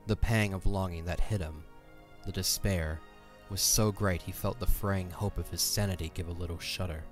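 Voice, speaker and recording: Male narrator, deep register, scripted dramatic fantasy storytelling over a music bed. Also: steady tone, normal speed.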